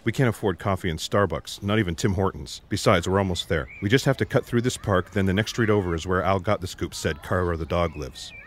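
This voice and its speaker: A man's voice: low voice, a little fast, hardly any pauses, slight background noise, close to an everyday voice. An actor playing an earnest, literal-minded alien man with formal, precise diction in an audio drama. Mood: matter-of-fact. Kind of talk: scripted audio drama acting.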